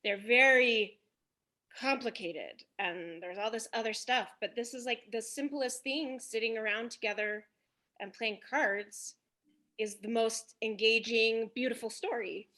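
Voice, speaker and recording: A woman's voice: a little high, measured pace, pushed and bright. A woman talking casually and reflectively, with an earnest manner. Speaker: cast member of an audio drama.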